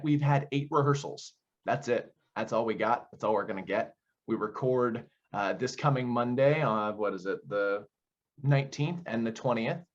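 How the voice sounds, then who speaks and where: Man explaining in a dull lo-fi recording. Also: mid-range voice. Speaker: audio play director being interviewed. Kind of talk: conversational interview answers.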